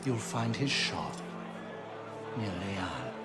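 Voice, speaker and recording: A man's voice: deep voice, sing-song, takes it slowly, full character voice. A sly, theatrical king of thieves. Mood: sardonic. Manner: mocking, theatrical, drawling. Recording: music underneath.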